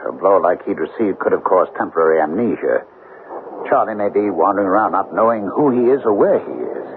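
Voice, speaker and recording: An actor playing a rational, reassuring small-town doctor in a radio drama, with a measured professional manner. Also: male voice, low voice, expressive, phone-quality sound. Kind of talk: 1970s radio drama acting. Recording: band-limited, noisy old radio broadcast.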